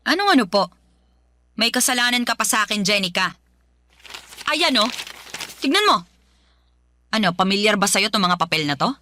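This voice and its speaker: Sharp, scolding Filipino mother. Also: medium pitch, big pitch swings, normal speed, pauses often. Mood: stern, accusing. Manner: sharp, nagging, confrontational. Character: stern, scolding, belittling Filipino mother of a teenager. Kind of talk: radio drama acting.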